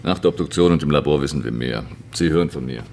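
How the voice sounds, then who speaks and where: A man playing a laconic forensic pathologist in a radio crime drama, with a deep gravelly rumble and a terse manner. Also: darkish tone. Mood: matter-of-fact. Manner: terse, slow to commit, matter-of-fact.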